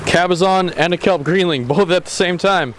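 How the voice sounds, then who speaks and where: A young man vlogging while fishing from a rocky sea jetty, explaining his rig casually and exclaiming loudly when fish bite. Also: high voice, normal speed. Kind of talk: casual solo vlog narration. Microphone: action camera, near and far. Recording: outdoor wind and water noise.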